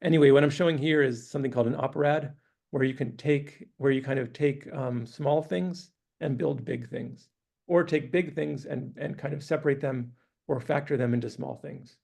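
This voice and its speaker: Lecturer, male, gravelly and a bit hoarse, loose hesitant delivery, classroom talk. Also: medium pitch, normal speed. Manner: relaxed, hesitant, thinking aloud. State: losing his voice, clearing throat. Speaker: guest lecturer. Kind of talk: academic lecture with questions. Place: university classroom.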